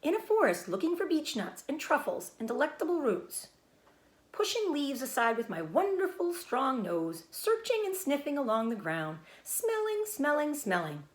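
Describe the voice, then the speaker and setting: A woman's voice: high voice, huge pitch swings, moderate pace, roomy sound, voice pushed up. Woman voicing an eager, anxious little boy pig. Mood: boastful, excited. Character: eager, anxious, innocent young male pig. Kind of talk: children's storybook read-aloud.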